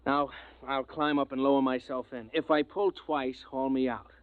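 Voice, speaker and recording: A man's voice: medium pitch, fairly even intonation, moderate pace, close to an everyday voice. A man playing a plucky, deferential survey engineer in a 1950s radio drama. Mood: dutiful. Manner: deferential, plainspoken. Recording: muffled, noisy old radio recording.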